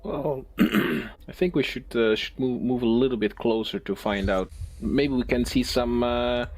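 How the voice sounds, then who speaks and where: A man speaking as a player in a tabletop roleplaying game, casually in character, with hesitant, measured phrasing. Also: mid-range voice, a little mellow.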